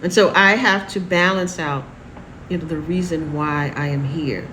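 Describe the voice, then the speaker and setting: Middle-aged woman host, low voice, reflective casual monologue, air conditioner humming behind. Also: steady tone, moderate pace. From New York City and Houston. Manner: warm, repetitive, emphatic phrasing with laughs. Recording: noisy room with air conditioning hum.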